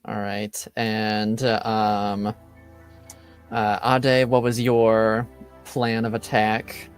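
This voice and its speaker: A man hosting a tabletop roleplaying game as game master, recapping casually and haltingly as he searches for words. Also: fairly low pitch, little pitch movement, clean tone, no echo, faint music behind.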